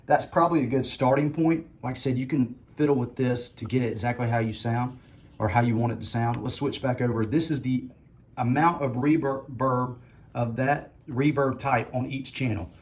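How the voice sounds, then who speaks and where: A man with a low voice giving a casual tutorial, talking through settings as he adjusts them. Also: moderate pace. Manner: relaxed, talks while adjusting settings. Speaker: musician demonstrating gear.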